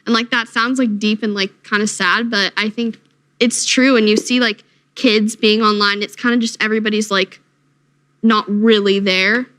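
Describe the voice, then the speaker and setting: A woman's voice: mid-range voice, flat delivery, normal speed, a bit bright. A teenage girl on a panel talking reflectively, with lots of fillers. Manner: reflective, many likes. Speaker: panel guest. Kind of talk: casual chat.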